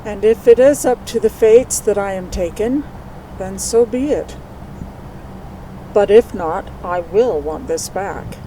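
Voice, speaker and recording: A woman playing an older, compassionate and resolute mother mage in an audio drama, speaking in a measured, earnest, solemn way. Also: mid-range voice, mellow, natural voice, poor audio. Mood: solemn, resigned. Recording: faint background noise.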